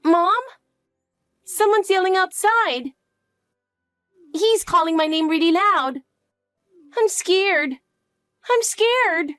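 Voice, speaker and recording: A woman's voice: extremely high pitch, lively intonation, slow, long pauses, fairly bright tone, no echo, clean studio sound, soft background music, pitched above the performer's own voice, exaggerated voice. A woman voicing a frightened little girl who clings to her mother with pleading questions. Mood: scared. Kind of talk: dramatic dialogue.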